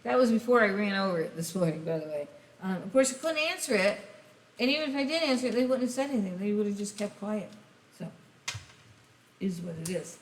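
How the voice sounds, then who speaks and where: A woman giving a casual tarot reading, rambling and speaking straight to the listener, her voice going hoarse. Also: mid-range voice, fairly quick. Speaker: tarot reader. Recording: echoey room, faint hiss.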